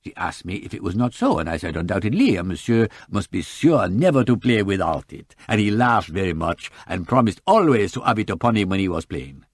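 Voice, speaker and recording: A man's voice: sits low, big pitch swings, brisk, few pauses, breathy edge, dry recording, studio quality, exaggerated voice. A man voicing a chatty young Frenchwoman working as a shop assistant, with a French accent and a raised, lilting voice. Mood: eager. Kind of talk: audiobook character voice.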